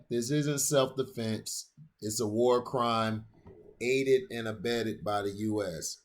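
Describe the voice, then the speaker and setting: A man hosting his own channel, reading a written opinion essay aloud in a steady, deliberate way, now and then stumbling over a long word and restarting it. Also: medium pitch.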